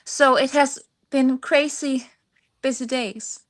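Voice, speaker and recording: A woman from Denmark with a Danish accent, answering personal interview questions in halting English as she searches for words. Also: sits high, steady tone. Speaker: singer-songwriter guest.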